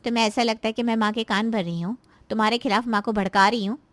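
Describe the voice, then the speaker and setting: Woman acting as nasty meddling married daughter in a cartoon, high voice, always nagging n hinting, super dry sound. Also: fairly even intonation, quick pace, a bit bright, no room sound, close to an everyday voice. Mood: insinuating, warning. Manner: nagging, insinuating, complaining. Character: scheming, spiteful married daughter stirring up family quarrels. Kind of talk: Hindi moral-story cartoon voice acting.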